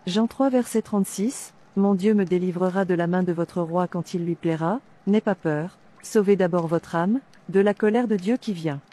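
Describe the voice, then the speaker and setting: A woman playing a devout, fearless captive in an animated drama, reciting scripture in a declamatory way. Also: lowish voice, moderate pace, few pauses, lightly acted. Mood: steadfast, preaching. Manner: declamatory, reciting verses with references.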